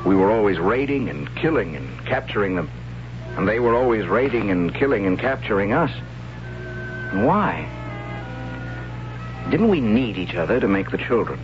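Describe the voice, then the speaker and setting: A man's voice: on the low side, big pitch swings, normal speed, long pauses. An actor playing a timid young tribesman who narrates his story in a wry, hesitant way, in a 1970s radio drama. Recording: dull old radio broadcast with music.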